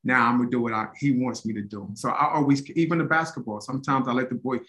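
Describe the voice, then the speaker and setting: A man's voice: medium pitch, fairly even intonation, quick pace, fairly bright tone. A man co-hosting a podcast, talking in a rambling, conversational way about coaching and laughing easily. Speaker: co-host, former high school track coach. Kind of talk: casual podcast chat.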